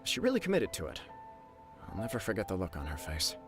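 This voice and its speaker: A young samurai in love, low and earnest, talking about his engagement with formal devotion. Game music plays clearly underneath. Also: huge pitch swings, fast talker, exaggerated voice.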